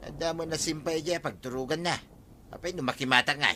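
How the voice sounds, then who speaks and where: Very sharp-voiced stubborn Ilocano man. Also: a little high, quick pace, slight background noise. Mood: dismissive. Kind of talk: comedy radio drama acting.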